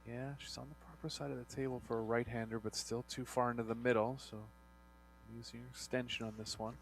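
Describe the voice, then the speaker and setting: A man's voice: medium pitch, flat delivery, a little fast, vocal fry, poor audio. A man giving analytical co-commentary on a billiards match in clipped, matter-of-fact technical remarks. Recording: broadcast with background mains hum.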